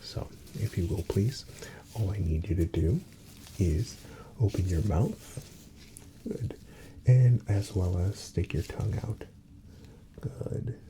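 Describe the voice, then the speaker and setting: A soft-spoken man, at times whispering, gently playing a reassuring doctor in an unhurried ASMR medical exam roleplay. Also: deep voice, slight background noise, poor audio.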